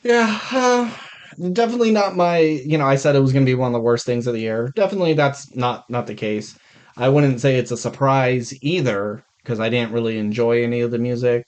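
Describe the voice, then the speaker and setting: A man's voice: mid-range voice, brisk, smooth. An American man co-hosting a podcast, casually reviewing films with blunt, jokey complaints. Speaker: podcast co-host. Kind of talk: casual film review chat.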